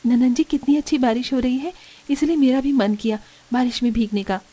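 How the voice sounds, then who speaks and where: Woman as a high-voiced put-upon young daughter-in-law. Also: fairly even intonation, brisk, mellow. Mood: meek. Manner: meek to elders, grumbling alone. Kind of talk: Hindi cartoon family drama.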